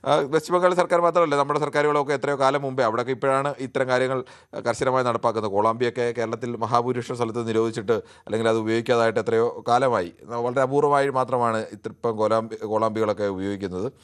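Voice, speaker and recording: A man's voice: medium pitch, steady tone, quick pace, clean tone. A man hosting a live discussion show, reading out viewer comments and answering them argumentatively. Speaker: TV phone-in discussion host.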